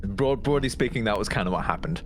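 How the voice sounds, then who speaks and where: A man's voice: lowish voice, animated, fast talker. A man casually recapping events as a player in a tabletop roleplaying game, hesitating, repeating words and trailing off.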